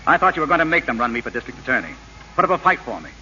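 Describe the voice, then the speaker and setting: An actor playing a corrupt, resentful assistant prosecutor in old radio drama, with a sardonic, needling manner. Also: male voice, medium pitch, sing-song, racing, sharp tone. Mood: bitter, threatening. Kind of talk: 1930s radio acting. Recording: muffled old radio recording with hiss.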